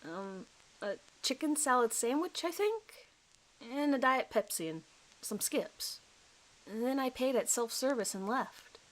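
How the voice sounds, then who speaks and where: A woman's voice: high voice, expressive, unhurried, rough recording. A woman voicing a nervous man in his thirties, a suspect giving a hesitant, rambling, apologetic account in an audio horror story. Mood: hesitant, uneasy. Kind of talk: dramatized police interview recording.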